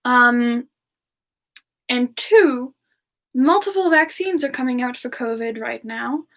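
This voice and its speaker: A woman voicing a gentle, polite female demon in a soft-spoken, hesitant semi-ASMR chat with viewers. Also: high voice, slow pace, full character voice. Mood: gentle, chatty. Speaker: streamer answering viewer questions in character.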